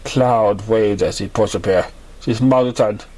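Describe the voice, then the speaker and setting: A man narrating scripted fiction as an amateur reader, halting and sometimes stumbling over his words. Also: fairly low pitch, darkish tone.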